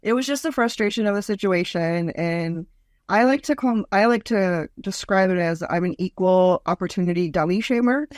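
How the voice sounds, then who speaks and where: A woman in her forties with a notably deep voice, talking as a guest in a relaxed interview. She tells stories with a sly, mocking edge and slips into quick impressions. The sound is close and dry. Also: no echo. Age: 40s. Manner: lively, storytelling, mocking asides. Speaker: guest, comedian. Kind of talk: casual interview chat.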